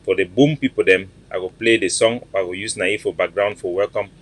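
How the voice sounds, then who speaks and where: A man's voice: low-pitched, steady tone, a little fast. A man in his forties with a Cameroonian Pidgin English accent, hosting an online show at home in earnest, repetitive, emphatic talk into a webcam. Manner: earnest, repetitive, emphatic, greets viewers by name. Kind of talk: casual livestream address.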